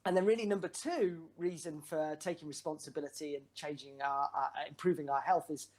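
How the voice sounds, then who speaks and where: A man in his forties, a wellbeing coach, speaking earnestly as a guest, with frequent hesitations. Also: fairly high pitch. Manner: earnest, halting with fillers. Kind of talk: conversational explaining. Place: brick garden office.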